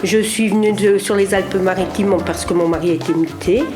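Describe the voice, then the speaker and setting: A woman's voice: mid-range voice, steady tone, fairly quick, over music. An older woman from northern France, a longtime resident recounting her arrival in a plain-spoken, wry way. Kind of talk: documentary interview.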